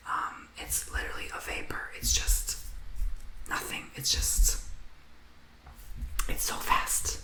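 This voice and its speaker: A man giving a tarot reading in a soft whisper, earnest and emotional, rambling as he encourages the listener. The recording has a roomy echo. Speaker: tarot reader.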